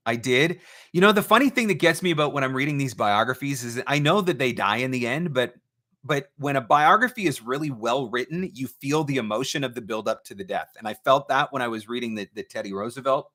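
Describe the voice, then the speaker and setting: Male host-coach for an informal live Q&A. Crisp-edged bright tone, middle register, chatty delivery with emphatic repetition. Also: medium pitch. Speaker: host, voice-over marketing coach. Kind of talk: casual livestream question-and-answer advice.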